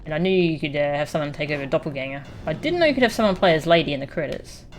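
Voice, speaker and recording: A woman's voice: low voice, animated, very fast, a little hiss or hum. A woman streaming a video game with casual, off-the-cuff playthrough commentary. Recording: faint game music behind. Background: video game audio.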